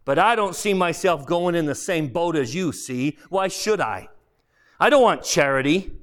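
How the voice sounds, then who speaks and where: A man's voice: fairly high pitch, expressive, normal speed, fairly bright tone, voice pushed up. A man voicing the sulky, indignant ghost of a self-righteous working man in a storybook, blustering and aggrieved in slangy speech. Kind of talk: dramatised audiobook character voice.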